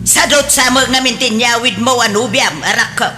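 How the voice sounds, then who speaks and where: A woman's voice: a little high, normal speed, faint music behind. A woman playing a domineering, nagging middle-aged mother of a grown son in an audio drama, with an Ilocano accent. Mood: nagging, angry. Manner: nagging, sharp-tongued, indignant. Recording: long reverb, faint background noise.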